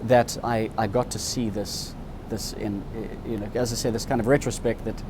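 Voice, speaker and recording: A man's voice: on the low side, fairly even intonation, normal speed, background noise. A man in his fifties from Zimbabwe, telling his life story in an interview in a candid, reflective way. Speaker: interviewee, subscriber.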